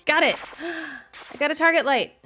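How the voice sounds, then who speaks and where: Expressive woman over background music. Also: high voice, a little slow. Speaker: video host. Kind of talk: casual video commentary with game play reactions.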